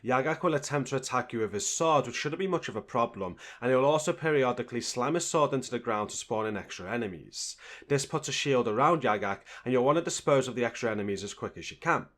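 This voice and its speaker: A man's voice: medium pitch, lively intonation, quick pace, fairly bright tone. A man reading scripted narration as a video game guide host.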